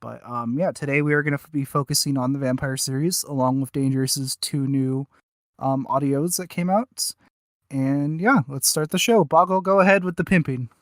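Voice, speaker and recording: A man's voice: mid-range voice, normal speed, no room sound, clean studio sound. A man co-hosting a podcast in casual chat, self-deprecating and mildly profane. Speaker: podcast co-host.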